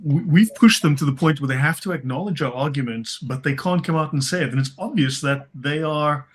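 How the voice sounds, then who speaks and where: A man's voice: a little high, fairly quick. A man speaking as a panel guest, fluent and listing points one after another. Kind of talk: casual panel discussion.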